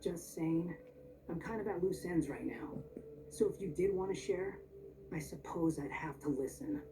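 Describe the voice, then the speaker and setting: Casting: actress as blunt brooding game loner, low register, dry and terse, distant noisy capture. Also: female voice, animated, moderate pace, lightly acted. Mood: dry, prodding. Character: brooding, blunt young loner, grudgingly helpful game character. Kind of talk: video game voice acting.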